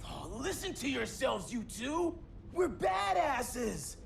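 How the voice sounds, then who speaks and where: An actor playing a brash, cocky young hero who talks in excited bursts. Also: male voice, extremely high pitch, takes it slowly, vocal fry, slight background noise, exaggerated voice. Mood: restless. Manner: excitable, boastful.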